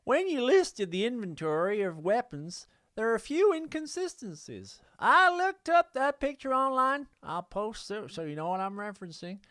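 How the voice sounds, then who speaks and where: Man acting a theatrical American Southerner, high-pitched, slow drawl, exaggerated letter reading, dry close sound. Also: very animated, a bit bright, no echo. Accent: put-on American Southern.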